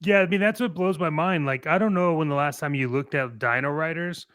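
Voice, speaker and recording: Clear-voiced man chatting as a show host. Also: on the high side, fairly quick, a bit bright, no room sound, studio quality. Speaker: toy talk show co-host. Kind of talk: casual chat about toy collecting.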